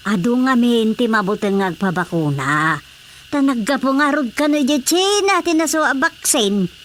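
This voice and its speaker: High-voiced stubborn Ilocano countrywoman, acted by a woman. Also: expressive, normal speed, slight background noise, natural voice. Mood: fearful, stubborn. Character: stubborn, fearful countrywoman wary of vaccines. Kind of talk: Ilocano radio drama dialogue.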